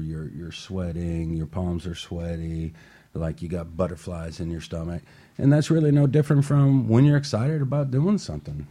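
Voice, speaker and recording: Deep-voiced man hosting a radio talk show. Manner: upbeat motivational, relaxed with fillers. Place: radio studio.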